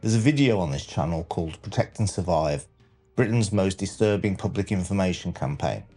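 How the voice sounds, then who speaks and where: A man narrating a scripted countdown documentary with a measured, dramatic delivery. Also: low-pitched, expressive, mellow, husky, soft background music. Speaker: narrator and channel host.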